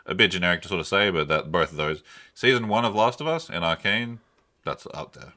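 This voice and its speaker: Deep, bright-voiced young man. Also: expressive, fairly quick. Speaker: podcast co-host. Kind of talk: casual chat.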